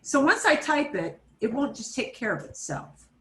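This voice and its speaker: A woman teaching a Spanish class over a video call, switching between Spanish and English and repeating phrases slowly. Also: high voice, big pitch swings, echoey room. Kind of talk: online class instruction.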